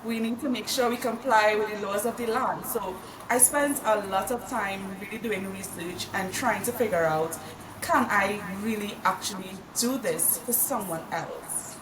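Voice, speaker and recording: A woman from Trinidad and Tobago, a podcast guest explaining her consulting work in a measured, earnest way. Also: medium pitch. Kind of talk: casual interview. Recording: roomy, distant mic with crowd noise.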